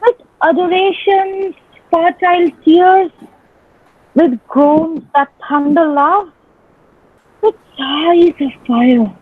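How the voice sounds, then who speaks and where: A woman playing an ardent young gentleman messenger in a Shakespearean dramatic reading, declaiming in a drawn-out, courtly manner. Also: very high voice, no echo. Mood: impassioned. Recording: thin phone-like band, dry sound.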